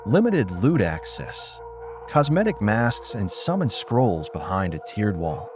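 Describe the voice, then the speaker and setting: A man voicing a smooth, businesslike male game executive focused on profit, in an audiobook. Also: fairly low pitch, big pitch swings, unhurried, over music. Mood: smug, confident. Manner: smooth, matter-of-fact.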